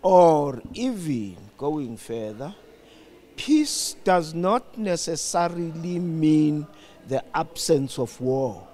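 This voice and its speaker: An older South African man giving reflective interview answers in a deliberate, emphatic, explanatory manner. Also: a little high, expressive, dark tone. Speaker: studio guest, former radio broadcaster.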